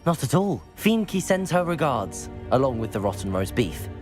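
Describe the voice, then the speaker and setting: An actor playing a polite, curious, self-assured student in a video game, with measured phrasing. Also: mid-range voice, sing-song, darkish tone, soft background music, lightly acted. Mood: puzzled. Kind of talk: video game character acting.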